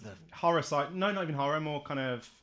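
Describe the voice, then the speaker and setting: A man's voice: on the high side, fast, a bit bright. A British man co-hosting a podcast, bantering casually about films in a jokey, opinionated way. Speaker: podcast host.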